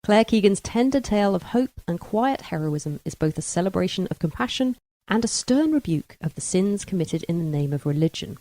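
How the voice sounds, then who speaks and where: A woman's voice: mid-range voice, expressive, fairly quick, warm tone, no echo, rough recording. A woman hosting a podcast, talking through and introducing books in a discussion. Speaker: book club podcast host.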